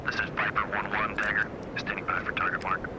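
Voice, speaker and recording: A man voicing a steady male attack pilot in a video game, speaking in terse radio procedure. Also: lowish voice, brisk, close to an everyday voice. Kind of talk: video game cutscene acting. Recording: noisy radio transmission.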